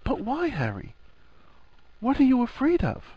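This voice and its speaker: Young wife for story narration, performed by a man: high, soft, coaxing, earnest, very animated. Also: unhurried, breathy edge, no room sound, muffled recording, heavily acted. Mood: coaxing, puzzled.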